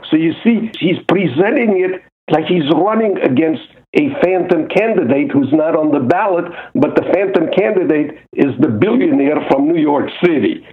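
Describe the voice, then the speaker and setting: Man on a phone line. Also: fairly high pitch. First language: Hungarian. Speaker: guest expert. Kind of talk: interview answer.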